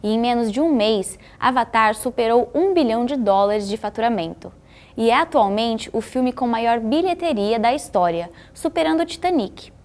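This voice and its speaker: A woman with a Brazilian accent reads scripted documentary-style narration in a measured, informative way. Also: fairly high pitch, expressive, a little mellow.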